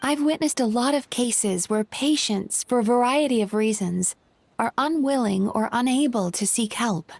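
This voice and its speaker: Caring female healer spirit for a game: high voice, calm, polite, thoughtful counsellor delivery. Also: normal speed, gravelly, dry recording. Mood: concerned. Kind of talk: video game voice acting.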